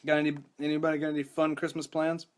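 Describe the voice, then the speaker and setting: A young man hosting a live podcast stream, his voice hoarse and rattly, chatting casually and rambling with lots of restarts and self-deprecating asides. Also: on the high side, completely flat delivery, fast. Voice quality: rattly, strained voice. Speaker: podcast host. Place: home room with mic. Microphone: studio microphone.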